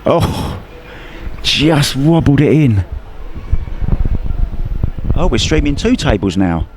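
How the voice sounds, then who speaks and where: A man's voice: medium pitch, big pitch swings, slow, darkish tone. Male live commentator, casual and jokey, light venue noise behind. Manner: jokey, chatty, laughs often. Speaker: pool commentator. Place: tournament venue.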